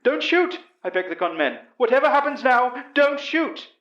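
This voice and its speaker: A man's voice: very high-pitched, sing-song, normal speed, a bit bright, full character voice. A man playing an elderly English professor who earnestly recounts a horror story, in a roomy, slightly dull recording. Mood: urgent. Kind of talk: first-person horror audio drama narration.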